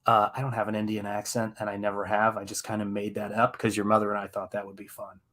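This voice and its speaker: A man's voice: fairly low pitch, completely flat delivery, quick pace, background noise. Middle-aged man chatting on a casual livestream. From United States. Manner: rambling, jokey, self-deprecating. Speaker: artist hosting a live painting stream. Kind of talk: casual livestream chat while painting.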